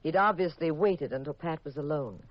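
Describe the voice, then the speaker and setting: A woman's voice: sits low, expressive, normal speed. An actress with an American accent playing a poised detective's wife, wryly narrating her mystery in 1950s radio drama style. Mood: wry storytelling. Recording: dull old radio recording with music.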